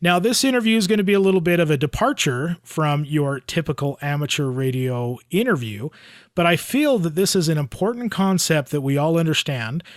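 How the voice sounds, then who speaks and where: Male podcast host, American, slightly high voice, close dry recording, presenting show news and guests. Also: lively intonation, a little fast, no room sound. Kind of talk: podcast announcements and interview.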